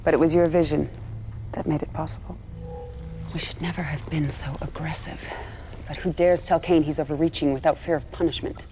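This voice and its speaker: An actress playing a proud, ambitious and resolute female general in a video game cutscene, speaking deliberately and imperiously. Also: low voice, fairly even intonation, background noise, close to an everyday voice. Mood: cool, confiding. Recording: loud music under the voice.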